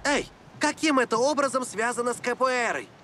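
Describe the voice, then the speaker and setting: A man's voice: very high voice, fairly quick, slight background noise. A man voicing an eager, impatient boyish young footballer in a cartoon dub. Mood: eager, surprised. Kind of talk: animated cartoon dub acting. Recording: loud music under the dialogue.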